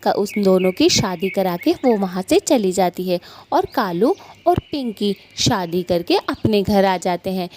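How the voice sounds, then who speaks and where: A woman's voice: mid-range voice, animated, a little slow, hardly any pauses, darkish tone. Plain woman storybook narrator.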